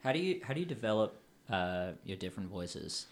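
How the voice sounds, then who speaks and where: A man's voice: on the low side, very animated, fairly quick. A man from Australia hosting a podcast, asking playful, teasing questions. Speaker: podcast host. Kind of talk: casual podcast interview.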